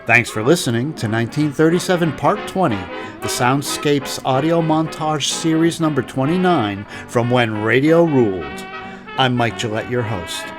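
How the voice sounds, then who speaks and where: A man hosting a podcast, reading a plain, measured outro. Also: mid-range voice, lively intonation. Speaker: podcast host. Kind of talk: scripted outro. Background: brass music.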